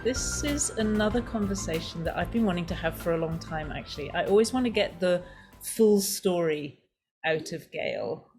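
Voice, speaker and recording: A woman hosting a podcast interview, asking unhurried questions with fillers. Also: fairly low pitch, expressive, soft background music. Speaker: podcast host.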